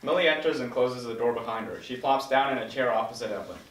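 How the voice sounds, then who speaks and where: A man reads stage directions as scripted narration. His voice is of average pitch. It sounds echoey and somewhat distant from the microphone. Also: fast talker, fairly bright tone. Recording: echoey room, distant mic.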